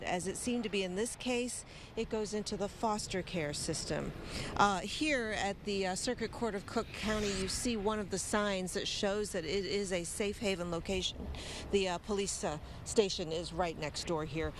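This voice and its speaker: A woman with an American accent giving a live field news report outdoors in measured, short phrases. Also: medium pitch. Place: outdoors on a city street. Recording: noisy outdoor street location.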